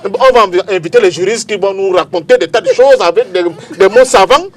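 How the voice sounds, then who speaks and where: A man's voice: high voice, a little fast. A Cameroonian-accented man debating politics as a panel guest, speaking emphatically and repeating phrases for effect.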